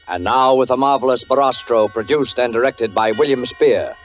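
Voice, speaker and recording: A man's voice: mid-range voice, moderate pace. A man introducing a 1940s radio suspense show as host, formal and dramatic. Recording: muffled 1940s radio, music behind.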